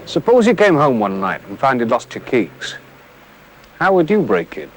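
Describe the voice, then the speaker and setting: A man's voice: mid-range voice, wildly swooping pitch, moderate pace. A man with a British accent giving colloquial, matter-of-fact scripted advice in a 1970s television film. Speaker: crime prevention film presenter. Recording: faintly noisy 1970s television soundtrack.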